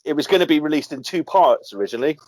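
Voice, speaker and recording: A man's voice: on the high side, animated, rapid-fire, no room sound, poor audio. A man as a guest on a music podcast, hesitant and trailing off as he shares trivia. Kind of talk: casual chat about music.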